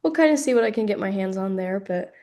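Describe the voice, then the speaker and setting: A woman's voice: medium pitch, animated, fast talker, a little mellow. A woman in her twenties from the Salt Lake Valley, a college student introducing herself on a video call in a talkative, slightly rambling way. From Salt Lake Valley, Utah. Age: 20s.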